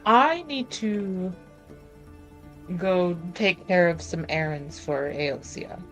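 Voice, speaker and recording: A woman's voice: fairly low pitch, big pitch swings, slow, fairly bright tone, faint music behind. A woman casually talking through events as a player in a tabletop roleplaying game.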